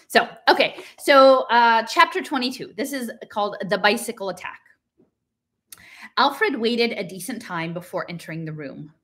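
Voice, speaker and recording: A woman's voice: on the high side, lively intonation, normal speed, a bit bright. Middle-aged American woman, conversational and emphatic. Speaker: host of a read-aloud stream. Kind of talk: casual talk and unrehearsed reading aloud from a book. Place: small living room at home, indoors.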